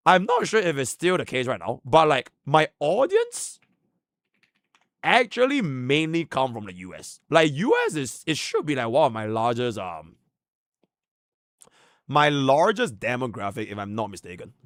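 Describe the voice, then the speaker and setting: A man from Singapore, live-streaming casual chat with his viewers, excitable and slangy, swinging between hyped outbursts and low mumbles. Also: moderate pace, no room sound, studio quality.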